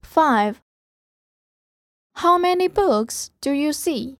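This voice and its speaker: A woman with a high voice reads items for a language listening test. She takes her time, enunciating each word carefully and deliberately, in a dry recording with no room sound. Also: lively intonation, dry recording. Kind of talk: scripted language-test reading.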